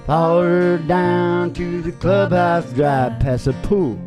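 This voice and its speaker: A woman with a lowish voice and an unhurried pace hosts a homespun country music show. She is loose and jokey, rambling along in a casual way, with guitar music around her. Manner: jokey, laughs through own remarks. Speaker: radio show host and country singer. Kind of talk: rambling casual banter between acoustic country songs.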